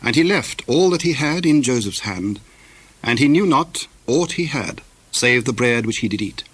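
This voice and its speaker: A man narrating scripture from a script in a measured, formal style. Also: mid-range voice, animated, fairly bright tone, slightly rough, no echo. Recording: older recording with faint noise.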